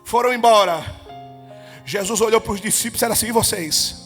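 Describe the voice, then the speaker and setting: A male evangelist leading a Pentecostal prayer, chanting, speaking in tongues and rising to fervent shouts. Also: high-pitched, a little fast. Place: church. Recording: reverberant church hall with music.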